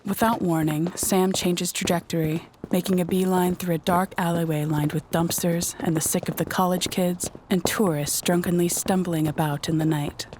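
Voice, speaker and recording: A woman's voice: lowish voice, background noise. A woman narrating a scripted audio drama in a descriptive storytelling manner.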